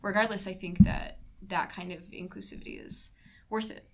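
A woman's voice: mid-range voice, steady tone, moderate pace. A young woman in a casual podcast discussion, talking hesitantly with plenty of fillers. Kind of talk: casual panel chat.

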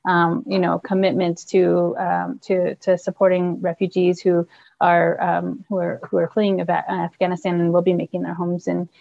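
A woman from a refugee agency, answering interview questions slowly with many ums and restarts. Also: lowish voice, monotone, creaky, a little mellow, dry recording, muffled recording. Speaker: refugee resettlement staff member. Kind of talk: news interview.

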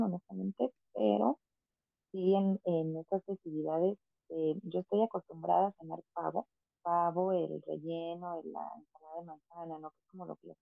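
Gravelly-voiced Mexican woman on a tinny line. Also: lowish voice, fairly even intonation, moderate pace, no room sound. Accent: Mexican Spanish. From Puebla, Mexico. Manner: frank, chatty. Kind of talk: casual chat. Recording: tinny phone-like line, dry.

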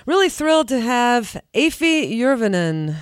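A woman's voice: a little high, big pitch swings, measured pace, clear, resonant tone, no echo, clean studio sound. A woman hosting a radio interview, asking friendly, curious questions. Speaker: radio host.

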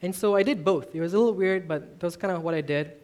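A man's voice: high-pitched, fast, a little mellow. Earnest young man from Malaysia preaching. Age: 20s. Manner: earnest, self-deprecating, admits nervousness. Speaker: youth minister preaching. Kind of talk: personal testimony sermon.

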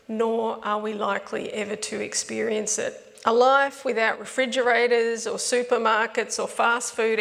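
A woman's voice: a little high, gravelly, big-room sound. A woman pastor giving a conversational sermon, her voice strained from asthma. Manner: conversational storytelling preaching.

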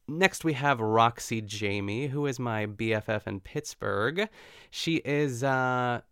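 A man hosting his own podcast, talking chattily and effusively with a smooth, soothing voice. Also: medium pitch, no echo, clean studio sound. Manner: chatty and effusive, lots of fillers. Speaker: podcast host. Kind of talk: casual solo podcast chat.

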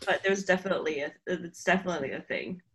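A woman's voice: fairly low pitch, fast talker, a bit bright. A woman from Taiwan, a podcast guest, chatting casually and laughing often. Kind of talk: casual chat.

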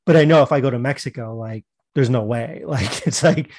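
Casting: young man, podcast host, mid-pitched voice, easygoing and encouraging. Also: darkish tone, a little hiss or hum. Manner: friendly, encouraging listener with quick fillers. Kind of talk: casual chat.

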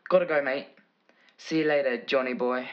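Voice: medium pitch, fairly even intonation, moderate pace, natural voice. A dull, lo-fi recording of an actor playing a cocky British kidnapper. The speech is colloquial and taunting, full of menace, in an audio drama. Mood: menacing, taunting. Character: menacing, cocky kidnapper making a threatening phone call. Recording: muffled, lo-fi sound.

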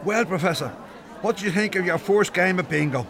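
A man's voice: sits high, brisk, crowd noise. An actor in an audio drama playing a cheeky, brash working-class man who loves a drink, with a Dublin accent. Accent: Dublin Irish. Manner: brash, slangy.

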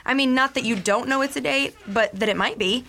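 Bright, high-pitched teasing coworker. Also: female voice, brisk, slight background noise. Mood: delighted. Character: teasing, nosy, excitable female office coworker. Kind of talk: naturalistic audio drama acting.

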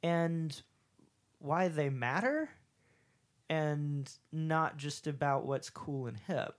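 A host speaks with a Southern American accent in a very low voice full of vocal fry. The questions come in a friendly, encouraging way. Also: female voice, animated, slow pace. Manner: friendly, encouraging, laughs along. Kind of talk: casual interview conversation.

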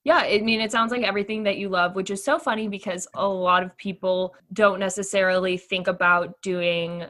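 A woman's voice: mid-range voice, fairly even intonation, a bit bright. A young woman co-hosting a podcast in casual, bubbly chat. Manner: bubbly, quick bursts, reads sponsor ad. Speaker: podcast co-host.

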